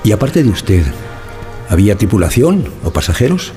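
Low, mellow formal inquiry examiner. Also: male voice, sing-song, moderate pace, soft background music. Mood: formal, probing. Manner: formal, probing. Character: formal male inquiry examiner questioning a survivor.

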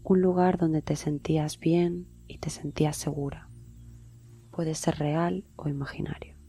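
A woman guiding a meditation in a slow, soft, soothing way, often sinking into a whisper. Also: low-pitched, level tone, gravelly, slightly rough. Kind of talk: guided meditation.

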